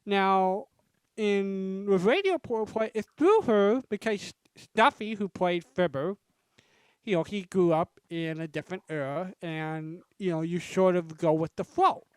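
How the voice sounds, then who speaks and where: A woman's voice: medium pitch, a little slow, a little mellow, clean tone, no room sound, rough recording. A woman explaining things casually as a host on a group video call. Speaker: panelist and event host.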